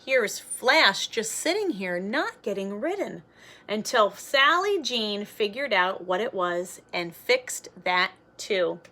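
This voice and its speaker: A woman's voice: medium pitch, sing-song, slow, pushed and bright. A woman reading a children's picture book aloud like a teacher, expressively, with simple explanatory asides.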